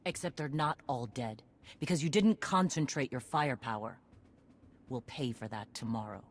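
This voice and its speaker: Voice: mid-range voice, moderate pace, natural voice. An actor playing a tough war leader who speaks bluntly and in a businesslike way. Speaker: commander.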